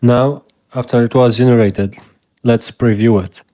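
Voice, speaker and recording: A man whose first language is Arabic, hosting a software tutorial and calmly explaining each step as he clicks through it. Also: mid-range voice, a little slow, no room sound. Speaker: tutorial host. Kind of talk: screen-recorded software tutorial.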